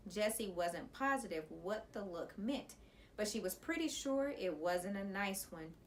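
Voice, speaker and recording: A woman reading a children's chapter book aloud as a teacher, in an expressive storytelling style. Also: mid-range voice, unhurried, slight background noise.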